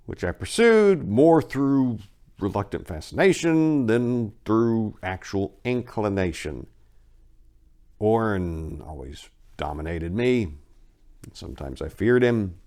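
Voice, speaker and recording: Haunted male witness in a horror tale, performed by a man, low voice, grave formal delivery, theatrical stress. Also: darkish tone. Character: haunted, shaken man testifying to his interrogators. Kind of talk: dramatic reading of first-person horror testimony.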